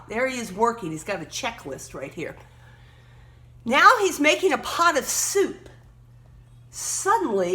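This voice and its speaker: Animated, high-voiced woman reading a children's story. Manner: emphatic, pauses to point out words and pictures. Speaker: read-aloud storyteller. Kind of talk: expressive children's story read-aloud with teaching asides.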